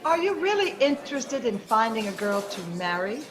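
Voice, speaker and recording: Woman playing radio-play femme, flirtatious, wistful, fairly high voice, faint crowd behind. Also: very animated, normal speed, poor audio. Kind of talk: 1940s radio drama acting.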